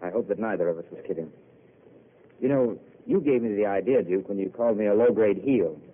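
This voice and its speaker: An actor playing a weary, eloquent intellectual who makes theatrical speeches, in old radio drama. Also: male voice, on the low side, brisk, close to an everyday voice. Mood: wistful. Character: weary poetic intellectual man, wistful and eloquent. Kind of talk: 1930s radio drama acting. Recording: tinny old broadcast with hiss.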